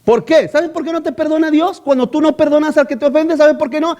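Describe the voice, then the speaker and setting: A man preaching in a strikingly high voice, pressing his point by repeating phrases. He keeps turning to the congregation with rhetorical questions as a pastor. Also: steady tone, fairly quick, mellow, lo-fi sound. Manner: emphatic, repeats key phrases. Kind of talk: sermon.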